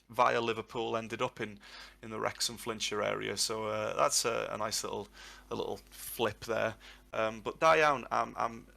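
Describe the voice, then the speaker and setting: Gravelly, bright-voiced man rambling in a Q&A. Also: on the low side. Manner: rambling, reflective and long-winded. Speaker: Welsh tutor and guest. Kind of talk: live Q&A on a voice chat.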